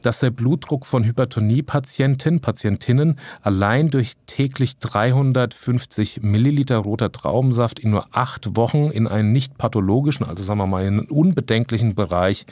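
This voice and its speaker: A man's voice: mid-range voice, a little fast, hardly any pauses, darkish tone, no room sound, clean studio sound. A man, a nutrition scientist, explaining health facts in a podcast conversation with frequent "äh" fillers and small self-corrections, now and then adding a light joke.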